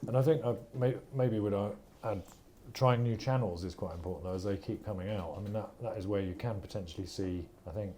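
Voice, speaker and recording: A man hosting a panel interview at an industry event, asking quick, chatty questions with a joking touch. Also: lowish voice, mellow, a little hiss or hum.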